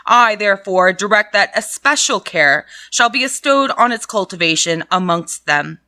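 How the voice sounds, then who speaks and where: A woman's voice: medium pitch, animated, normal speed, pushed and bright. A woman reading aloud the lines of a pompous, stern male clergyman in a storybook reading, with a preachy, moralizing manner. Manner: sanctimonious, moralizing.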